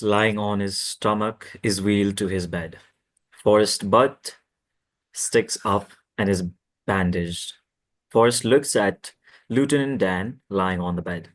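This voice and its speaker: Guy with a deep voice reading script directions out loud at a table read. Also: fairly even intonation, measured pace. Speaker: narrator reading stage directions.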